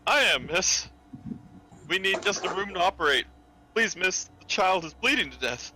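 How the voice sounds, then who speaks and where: Man acting a very bright, courteous gentleman. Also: sits high, expressive, normal speed, slight background noise, heavily acted. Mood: urgent, courteous. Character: polite, urgent gentleman in period horror drama. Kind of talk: live horror script reading.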